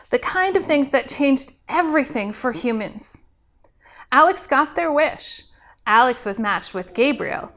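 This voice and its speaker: A woman's voice: high-pitched, a bit bright. A woman, a pastor and host, reading a scripted story aloud as a storyteller.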